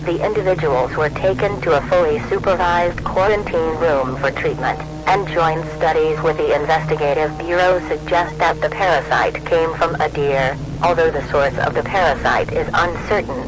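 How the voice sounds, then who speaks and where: A man reads a scripted emergency broadcast as an announcer, in a formal, measured and impersonal manner. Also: on the high side, noisy background.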